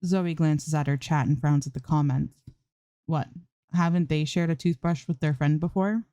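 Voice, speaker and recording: A woman's voice: sits low, steady tone, normal speed, mellow, dry recording, clean studio sound. A woman reading fan fiction aloud as an amateur narrator, in scripted storytelling style.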